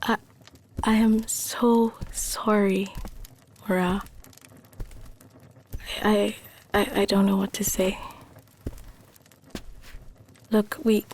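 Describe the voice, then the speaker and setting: An actress playing an earnest, supportive young member of an activist collective in an animated motion comic. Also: female voice, mid-range voice, slow pace, long pauses, slight background noise. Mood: sorrowful, hushed.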